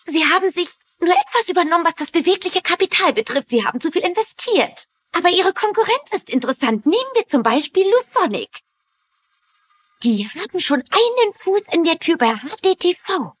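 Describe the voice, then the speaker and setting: An actress playing a polite, eager secretary, easily flustered, in a dubbed TV drama. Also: female voice, very high-pitched, sing-song, normal speed, fairly bright tone, no room sound, full character voice. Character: flustered, eager, knowledgeable female stockbroker's secretary. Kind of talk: German-dubbed TV drama acting.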